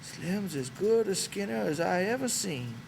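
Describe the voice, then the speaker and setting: A man's voice: high-pitched, expressive, a little slow, vocal fry, slight background noise, voice pushed up, full character voice. A man voicing an old, wistful and stubborn American ranch hand with earnest, folksy talk, for an audiobook. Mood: pleased. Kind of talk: dramatic audiobook character voice.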